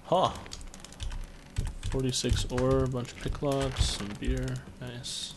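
Low-key young guy commentating a game. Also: completely flat delivery, takes it slowly, noisy background. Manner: low-key, offhand, self-deprecating. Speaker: let's play commentator. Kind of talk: casual gameplay commentary. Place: bedroom at home.

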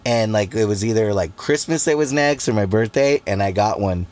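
A man's voice: lowish voice, animated, moderate pace, darkish tone. A man in his late forties, a podcast guest, telling stories in a loose, rambling way with lots of fillers, at a studio mic. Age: 40s. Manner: laid-back, rambling storyteller with lots of fillers. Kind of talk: casual chat. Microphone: studio mic on boom.

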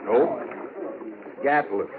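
An actor playing a laconic, blunt buffalo skinner with a rural American accent in a 1950s radio western. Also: male voice, mid-range voice, drawn-out words, phone-quality sound. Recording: thin, noisy old 1950s radio recording.

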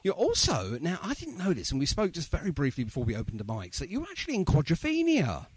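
A man's voice: a little high, wildly swooping pitch, quick pace, husky, no echo. Casting: male podcast host, joking interviewer, hugely varied intonation, dry studio sound. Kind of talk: casual, jokey interview.

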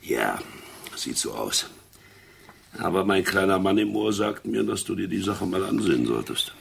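Gravelly, very deep, teasing boss. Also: male voice, big pitch swings, normal speed, a bit bright, a little hiss or hum, heavily acted. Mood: wry teasing. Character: jovial, teasing cigar-smoking male insurance boss. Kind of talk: crime radio drama acting.

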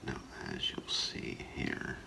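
A middle-aged man whispering in a halting, hushed ASMR role play as an appraiser, breathy and gravelly, close to the mic. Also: noisy background. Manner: slow, hushed, halting, with long pauses. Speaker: ASMR performer role-playing a gemologist. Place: small curtained room indoors.

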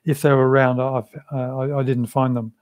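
A man, answering interview questions in a measured, thoughtful way over a video call from a small room. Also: medium pitch, level tone, darkish tone, smooth, no echo. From Australia. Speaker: interview guest, Buddhist practitioner. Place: small room with a shrine, indoors.

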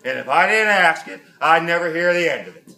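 An actor playing a brash, rambling middle-aged football fan, full of "oh jeez" asides. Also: male voice, a little high, expressive, brisk, sharp tone. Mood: bossy, gossipy. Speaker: sketch interviewer. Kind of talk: comedy sketch acting. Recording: roomy echoey space.

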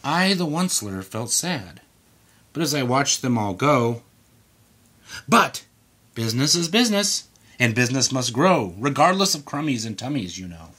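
Guy reading a rhyming kids book out loud, super animated, bright voice w a bit of husk. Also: medium pitch, normal speed, breathy edge. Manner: theatrical, emphatic. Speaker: storybook reader. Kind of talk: dramatic read-aloud of a rhyming children's book.

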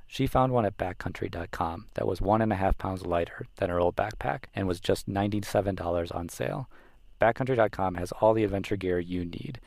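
A man's voice: low-pitched, creaky, a little mellow, no room sound, studio quality. A man co-hosting a podcast, reading a scripted sponsor spot. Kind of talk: scripted ad read.

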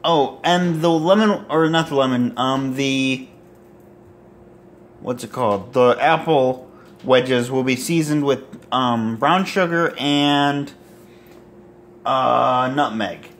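A man in his twenties casually demonstrating home cooking to camera in a halting, rambling, self-deprecating way. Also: mid-range voice. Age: 20s. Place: small home kitchen and dining room. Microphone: handheld or propped phone near arm's length. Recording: roomy echo, kitchen noise with sizzling.

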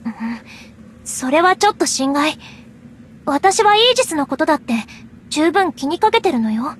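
A woman voicing a sweet, earnest young woman in an anime game, gentle and playfully deadpan. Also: sits high, moderate pace, a little hiss or hum. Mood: gentle, sincere. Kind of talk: Japanese anime game voice acting. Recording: clear background music.

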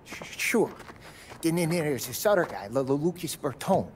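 A man's voice: a little high, lively intonation, normal speed, natural voice. A nervous mob mechanic acted by a man who stutters heavily on his words. He is eager and helpful, speaking in a video game scene with faint noise behind him. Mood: anxious, eager. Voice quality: heavy stutter. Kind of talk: cinematic video game dialogue.

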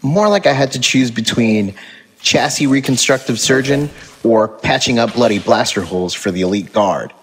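A man playing a junior robot field medic in an animated drama, hesitant, deferential and sincere. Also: medium pitch, moderate pace, slight background noise, lightly acted. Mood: earnest, uneasy. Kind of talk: dramatic animated-film acting.

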